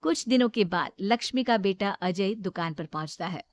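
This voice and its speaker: A woman's voice: mid-range voice, expressive, normal speed, dry recording. A woman narrator reading a scripted Hindi moral story.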